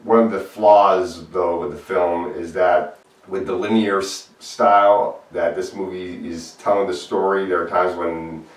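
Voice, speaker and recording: A man's voice: low-pitched, fairly even intonation, a little slow, recorded from a distance. A man giving an earnest, plain-spoken film review in a solo video. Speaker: movie reviewer. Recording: echoey room, voice off-mic.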